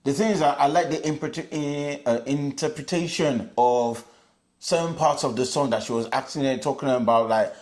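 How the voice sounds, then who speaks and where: A man's voice: medium pitch, moderate pace, a little mellow. A man with a Nigerian accent co-hosting a reaction video, casually judging entries. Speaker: co-host judging challenge entries.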